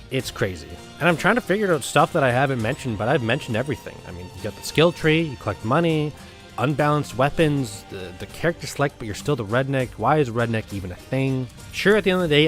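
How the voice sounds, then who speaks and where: A man hosting a casual video game review, talking in a blunt, rambling, conversational way. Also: mid-range voice.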